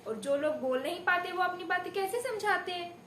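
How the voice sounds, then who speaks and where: Female primary teacher, animated melodic delivery, theatrical classroom storytelling, recorded in an echoey, slightly noisy room. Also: very high-pitched. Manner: theatrical, rhetorical questions, deliberate emphasis. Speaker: primary school teacher giving a demo lesson. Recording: echoey room with background noise.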